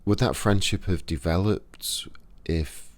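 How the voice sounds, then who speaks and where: A man with a Yorkshire accent, giving a casual, unhurried spoken lesson to camera with pauses and fillers. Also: deep voice, dry recording. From Yorkshire, England. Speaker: esoteric practitioner and channel host. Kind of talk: casual instructional talk to camera.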